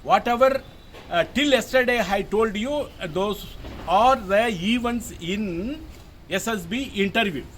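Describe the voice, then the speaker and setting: A man's voice: sits high, a little slow, a bit bright. An older man with a Tamil Indian English accent, a native Tamil speaker, giving emphatic informal guidance to camera as a retired officer, often repeating his phrases. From Tamil Nadu, India. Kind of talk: informal instructional talk to camera. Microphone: mobile phone camera. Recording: phone recording with background noise.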